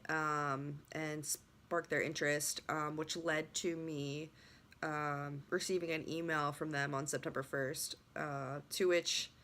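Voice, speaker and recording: Low, bright-toned young woman, voice still recovering. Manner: rambling, many restarts and fillers. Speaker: lacrosse goalie trainer. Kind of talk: casual vlog storytelling.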